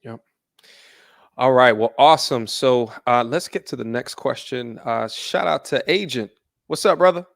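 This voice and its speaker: Gravelly-voiced man hosting a livestream. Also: mid-range voice, moderate pace, fairly bright tone. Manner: upbeat, brief, moving things along. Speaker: livestream host.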